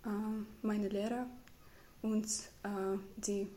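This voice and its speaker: Female, 15, Ukrainian accent. Slow, hesitant interview answers at medium pitch. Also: flat delivery, warm tone. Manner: hesitant, searching for words. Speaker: interviewee, refugee student.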